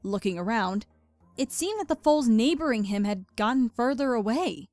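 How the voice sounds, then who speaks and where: A woman's voice: fairly high pitch, lively intonation, moderate pace, darkish tone, no room sound, faint music behind. A woman reading scripted story narration.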